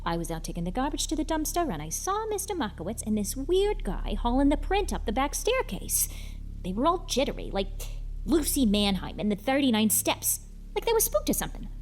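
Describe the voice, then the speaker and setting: An actress playing an eager, chatty young 1940s cinema usherette, gushing and gossipy with wholesome old-fashioned slang in an audio drama. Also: female voice, high voice, a little hiss or hum. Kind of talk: film noir audio drama acting.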